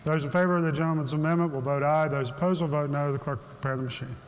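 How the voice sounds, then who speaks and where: A man presiding over a legislative chamber, calling votes and recognizing members in brisk, formulaic procedure. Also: a little high, fairly even intonation, background noise. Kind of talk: formal parliamentary procedure. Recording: long hall reverb, faint ambience.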